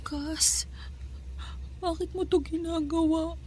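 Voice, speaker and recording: An actress playing a gentle, downtrodden young housemaid in a Filipino radio drama, with a soft, emotional, pleading delivery. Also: female voice, very high voice, steady tone, slow pace, slight background noise. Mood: puzzled, cautious.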